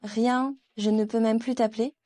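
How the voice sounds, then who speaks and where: A woman acting a jilted ex-girlfriend in a dubbed melodrama. She is haughty and wounded, with a dark, mellow yet fairly high voice over music. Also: fairly even intonation, moderate pace, dry recording, close to an everyday voice. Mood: wounded, pleading.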